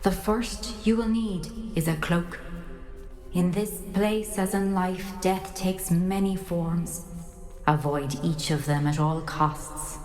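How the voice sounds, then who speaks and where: An actress playing a solemn, cryptic, wise professor in a video game, guiding a student in a formal, measured manner. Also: female voice, lowish voice, steady tone, darkish tone. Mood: solemn, cautioning. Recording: reverberant voice with faint music.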